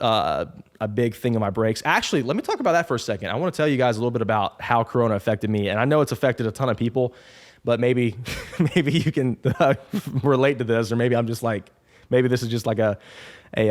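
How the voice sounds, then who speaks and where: Young male podcast host, twenties, chatty and rambling, mid-pitched voice. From Alabama. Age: 20s. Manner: rambling, chatty, upbeat with frequent restarts. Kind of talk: casual solo podcast intro.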